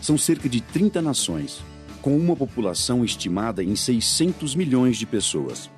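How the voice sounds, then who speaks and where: Brazilian male narrator, measured and animated, light music bed. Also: medium pitch. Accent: Brazilian Portuguese. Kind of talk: scripted documentary narration.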